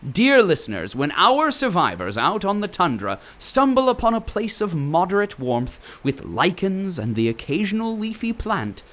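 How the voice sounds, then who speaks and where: A man's voice: on the high side, fairly bright tone, heavily acted. A man playing a pompous, company-loyal radio drama narrator with theatrical, swooping delivery.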